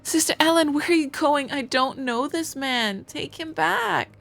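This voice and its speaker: A woman's voice: high voice, measured pace, vocal fry, a bit bright, pitched above the performer's own voice, exaggerated voice. Woman acting a frantic, defiant young noble wife. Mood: frantic, pleading. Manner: emphatic, pleading, indignant delivery. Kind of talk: dramatic visual novel voice acting. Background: music.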